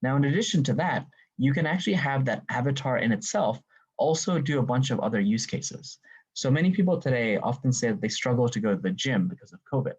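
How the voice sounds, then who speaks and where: A man's voice: medium pitch, expressive, fast, breathy edge. A man giving a casual business presentation on a video call, dotting his talk with "you know" and "right?". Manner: filler-heavy, many "you know" and "right?". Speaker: webinar presenter. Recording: dull lo-fi call audio.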